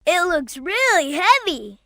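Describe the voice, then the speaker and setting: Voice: very animated, takes it slowly, vocal fry, pushed and bright, no room sound, studio quality, full character voice. A performer voicing an earnest, eager little boy fox sidekick in a video game, with a childlike voice. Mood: curious. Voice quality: high, childlike.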